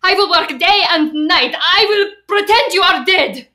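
An actress playing a principled immigrant wife in a radio play, firm, reproachful and insistent. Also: female voice, extremely high pitch, unhurried, bright, forceful tone, heavily acted. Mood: resolute. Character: stern, principled immigrant wife, frightened but resolute.

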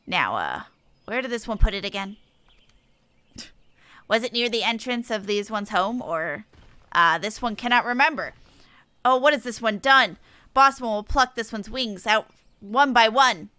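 Woman voicing a bright little fretful sylph. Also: mid-range voice, clean tone, dry recording. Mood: panicked. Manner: odd third-person speech, earnest. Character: small fretful forest sylph creature, earnest, flighty. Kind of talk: reading game dialogue in character.

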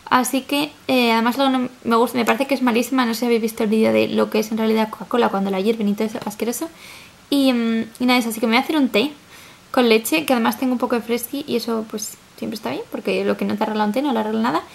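A woman's voice: fairly high pitch, steady tone, racing, creaky. A woman in her twenties with an accent from Spain, chatting casually to camera in a rambling, chatty way. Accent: Spanish from Spain. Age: 20s. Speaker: vlogger. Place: small flat living room, indoors.

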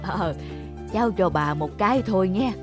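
An actress playing a kind, worried elderly grandmother in a cartoon, speaking gently and plaintively. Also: medium pitch, animated, moderate pace, close to an everyday voice. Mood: friendly. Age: older. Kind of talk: animated moral tale voice acting. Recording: music playing behind the voice.

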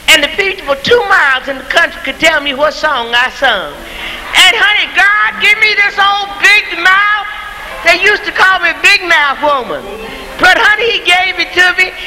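Older woman, church testimony, very high pitch, slow fervent speech, old church tape with organ behind. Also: sharp tone, a little hiss or hum, rough recording. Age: 70s. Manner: fervent, exclaims praise often. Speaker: church mother. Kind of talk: church testimony and gospel singing. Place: church sanctuary.

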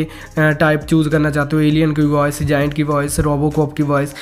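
Guy with a pretty high voice doing a casual phone how-to, drops in lots of english words. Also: darkish tone. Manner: mixes English words and stock phrases into his speech. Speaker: tech tutorial host. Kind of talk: casual step-by-step phone tutorial voice-over.

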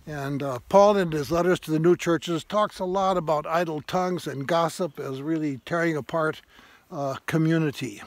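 A man in his seventies giving a thoughtful, halting informal sermon to camera as he walks outdoors, repeating phrases for emphasis. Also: on the high side, dry recording. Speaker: vlogger, retired parish priest. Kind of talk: reflective informal sermon to camera while walking. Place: outdoors on a grassy woodland trail. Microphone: handheld phone at arm's length.

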